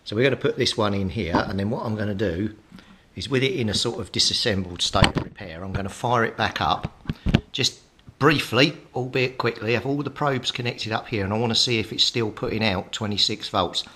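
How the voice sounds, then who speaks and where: A man's voice: on the low side, a little fast, very noisy. A British man talking through hands-on electronics repair as a hobbyist host, practical and explaining things step by step. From Britain. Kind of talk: hands-on repair tutorial, talking while working.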